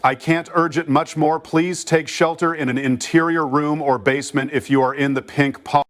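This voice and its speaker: A man's voice: on the high side, steady tone, moderate pace, lightly acted. A man playing a TV meteorologist in amateur mock storm coverage, explaining casually and urging caution. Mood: urgent concern. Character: concerned male TV meteorologist covering a dangerous tornado. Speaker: weather presenter.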